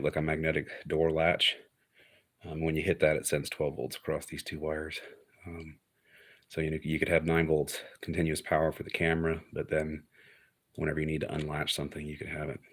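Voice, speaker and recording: A man giving a casual, unscripted show-and-tell of electronics, explaining technical details in a matter-of-fact way. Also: a bass voice. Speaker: hobbyist presenter of an electronics find.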